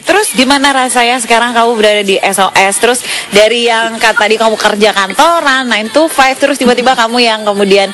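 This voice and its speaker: A woman from Padang hosts a radio show, chatting and teasing her guest in loose slang. Her voice sits a little high, with faint music under the broadcast. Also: a little fast, a bit bright. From Padang, Indonesia. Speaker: radio host. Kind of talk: casual radio chat.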